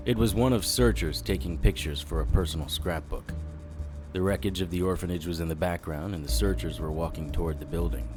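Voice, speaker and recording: Low-voiced male noir investigator, wry and hard-boiled, narrating his own case over music. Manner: wry, deadpan. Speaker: narrator and lead character. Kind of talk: first-person noir narration in audio drama.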